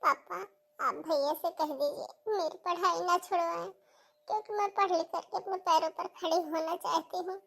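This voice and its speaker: Woman doing a high girly schoolgirl voice, tearful n pleading, earnest cartoon drama. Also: steady tone, moderate pace, creaky, fairly bright tone, full character voice. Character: tearful young schoolgirl pleading to keep studying. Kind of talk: Hindi cartoon family melodrama acting.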